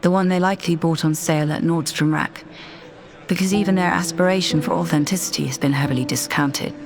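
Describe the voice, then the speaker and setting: A woman narrating a scripted guided meditation in a measured, deliberate, soothing manner. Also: low voice, fairly even intonation. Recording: voice over background music. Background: music.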